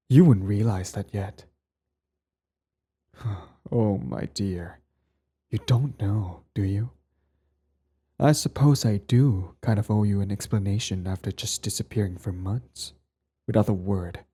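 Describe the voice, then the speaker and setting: A man playing a cold, sardonic villain with secret tenderness in an intimate ASMR roleplay, his voice low, husky and breathy. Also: dark tone. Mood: mocking, sardonic, then soft, regretful. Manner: slow deliberate delivery, sardonic, condescendingly affectionate. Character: cold, mocking male villain, protective ex-hero, secretly tender.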